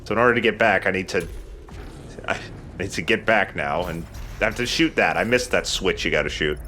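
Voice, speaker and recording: Bright-voiced, lowish man playing games. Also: brisk. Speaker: let's play host playing the game. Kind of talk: casual game commentary. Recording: clear background noise. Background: game sound.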